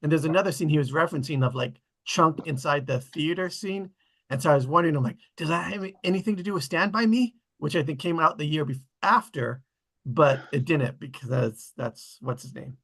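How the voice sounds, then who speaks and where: A man's voice: a little high, a little fast, fairly bright tone. A man in casual group chat, talking in a rambling way as he searches for details. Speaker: participant in a script table read.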